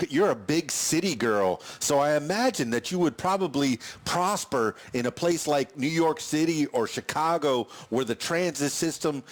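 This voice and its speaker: A man from Miami hosting a podcast interview, who rambles and strings several questions together. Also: mid-range voice. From Miami, USA. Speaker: podcast host. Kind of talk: casual interview talk.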